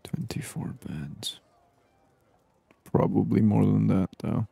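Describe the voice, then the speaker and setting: Soft-spoken male gaming narrator, deep and creaky, murmuring unhurriedly for ASMR. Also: slow, no echo. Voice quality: soft, hushed, breathy voice. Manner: murmured thinking aloud in short phrases. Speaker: gaming streamer. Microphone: close binaural microphone.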